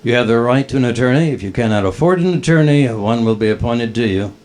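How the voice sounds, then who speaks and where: Guy playing formal cop doing an arrest, official recital, table read, normal pitch. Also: lively intonation, fast, a little mellow, a little hiss or hum, lightly acted. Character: formal, procedural police officer making an arrest.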